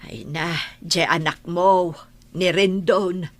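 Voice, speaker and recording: A woman's voice: fairly low pitch, steady tone, slow. A woman acting a concerned older mother in a radio drama, coaxing and sighing, with an Ilocano accent. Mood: confiding, troubled. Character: concerned older mother, reassuring yet meddling.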